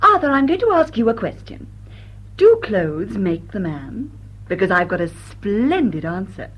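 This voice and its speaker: Husky, flippant upper-class English lady. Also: medium pitch, sing-song, a little slow. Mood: teasing, blunt. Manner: breezy, teasing, blunt.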